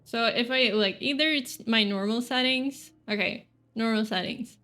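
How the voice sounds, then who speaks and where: A woman's voice: a little high, normal speed, pushed and bright. A young woman from Sweden streaming a game and chatting casually, rambling and correcting herself as she thinks aloud. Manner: rambling, hesitant, self-correcting, giggly. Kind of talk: casual gameplay chat.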